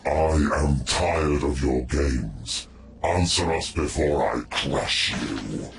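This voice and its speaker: Menacing brute god, extremely deep, slow, contemptuous, game villain. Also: male voice, vocal fry, slight background noise, full character voice. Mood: threatening. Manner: threatening, blunt, contemptuous. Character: brutish, menacing, impatient male war god. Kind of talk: video game cutscene villain acting.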